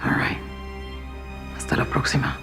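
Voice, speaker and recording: A woman's voice: moderate pace, close to an everyday voice. A woman playing a playful, fond agent at a script read. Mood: fond, reluctant. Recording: music under the voice.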